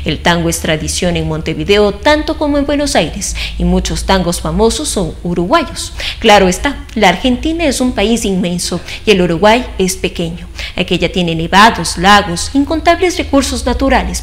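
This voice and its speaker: Woman reading scripted commentary, mid-pitched. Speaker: news commentator.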